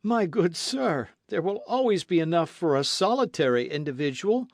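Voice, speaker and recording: A man's voice: a little high, lively intonation, normal speed, no echo, studio quality, voice pushed up. A man reading a book aloud, voicing a bold, witty adventurer in a jaunty, confident manner. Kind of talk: audiobook character reading.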